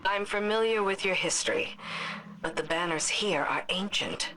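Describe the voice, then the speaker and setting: An actress playing a composed, guarded commander in a video game cutscene, speaking in a measured, formal, cautioning manner. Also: female voice, mid-range voice, bright, forceful tone, a little hiss or hum, natural voice, low-quality recording. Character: composed, guarded female commander, pragmatic and knowledgeable.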